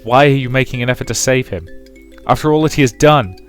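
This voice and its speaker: A man's voice: mid-range voice, fast, lightly acted. A man voicing a possessive, bitter and pleading ghost of a mother in a dramatic visual novel read-aloud, theatrical and emphatic. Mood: bitter, warning. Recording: music behind the voice.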